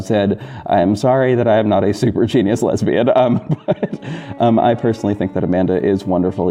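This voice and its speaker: A man hosting a casual, unscripted podcast update, talking in a rambling, halting and effusive way. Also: lowish voice, dark tone. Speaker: podcast host and audio drama creator. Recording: dull muffled sound with music bed. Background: backing music.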